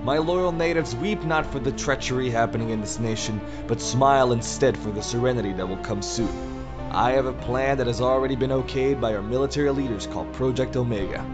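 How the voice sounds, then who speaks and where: An actor playing a zealous new president turned dictator, giving a solemn, oratorical speech in an audio drama. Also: male voice, mid-range voice, brisk, music in the background, lightly acted. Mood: solemn, reassuring.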